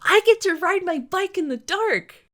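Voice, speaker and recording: A woman voicing an excitable, easily scared little boy in a story being read aloud. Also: very high-pitched, a little slow, pitched above the performer's own voice, full character voice. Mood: excited.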